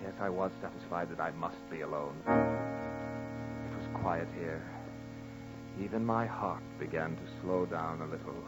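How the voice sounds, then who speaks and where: An actor playing a panicked, guilt-ridden murderer, narrating a horror radio drama in a melodramatic way with dramatic pauses. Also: male voice, low-pitched. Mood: tense, brooding. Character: guilt-ridden, panicked male murderer confessing his crime. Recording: muffled vintage radio recording with music.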